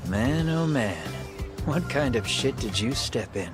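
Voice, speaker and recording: A man's voice: medium pitch, animated, unhurried, lightly acted. A man playing a cocky, streetwise local handyman in an English-dubbed game cutscene, talking in a casual, slangy way. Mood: amused, eager. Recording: clear music behind the voice.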